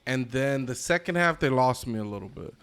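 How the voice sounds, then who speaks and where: A man's voice: medium pitch, lively intonation, a little fast, a bit bright, smooth. A man co-hosting a podcast in casual banter, talking in an emphatic, exaggerating, slangy and sweary way. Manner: emphatic, hyperbolic, slangy and profane. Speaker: podcast co-host.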